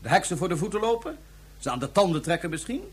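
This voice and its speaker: An actor playing a smug, pompous dictator in a radio drama, mockingly polite and bent on conquest. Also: male voice, sits high, big pitch swings, fast, slightly rough, heavily acted. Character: smug megalomaniac dictator plotting to seize the solar system.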